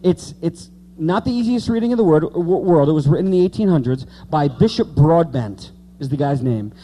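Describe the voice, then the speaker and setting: A man's voice: fairly high pitch, expressive, fairly quick, mellow, slight background noise, poor audio. A man in his late thirties preaching an informal evangelical sermon, repeating key words for emphasis and speaking conversationally to the congregation. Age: late 30s.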